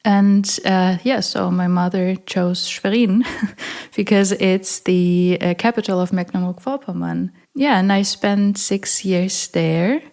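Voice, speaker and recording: A woman in her thirties who grew up in Ukraine and Germany, talking thoughtfully in a casual interview, pausing with fillers. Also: mid-range voice, creaky, a little mellow. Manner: thoughtful, hesitant with fillers, laughs easily. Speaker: artist and researcher, interview guest.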